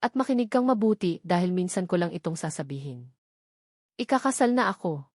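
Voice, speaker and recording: A woman's voice: on the low side, big pitch swings, brisk, a little mellow, no echo, studio quality. A woman voicing a cold, cruel young man in a story, with a menacing, emphatic, dismissive manner. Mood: cold, serious. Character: cold, cruel young man rejecting his pregnant lover.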